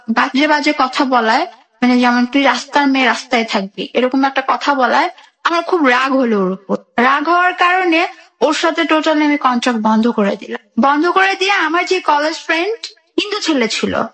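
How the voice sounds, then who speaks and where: A young woman with a high voice and a Bangladeshi accent. She speaks as a guest recounting her own life in a radio interview, going through it in detail and acting out what people said to each other. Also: a bit bright, poor audio. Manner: narrates in detail, re-enacting conversations. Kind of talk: personal storytelling in a radio interview.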